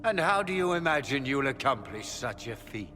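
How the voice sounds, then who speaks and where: An actor playing a haughty middle-aged magister villain in a video game, zealous and menacing, with a grandiose, declamatory delivery. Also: male voice, medium pitch, sing-song, moderate pace, pushed and bright, a little hiss or hum. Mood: smug. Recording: long hall reverb, faint music behind.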